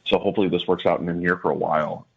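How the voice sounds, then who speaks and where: A man's voice: deep voice, little pitch movement, racing, creaky, husky. A man in his thirties having a casual phone conversation. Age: 30s. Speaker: man answering a phone call. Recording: muffled lo-fi phone call.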